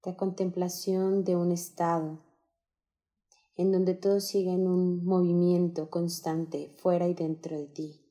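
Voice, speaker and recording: A woman leading a guided meditation in a calm, soothing, unhurried manner, giving gentle instructions with pauses. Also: lowish voice.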